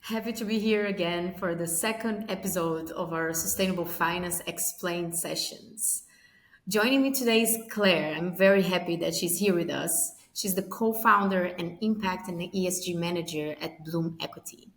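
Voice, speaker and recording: A woman's voice: lowish voice, normal speed, echoey room. A woman hosting an interview, introducing her guest and asking questions in an upbeat way. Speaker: host.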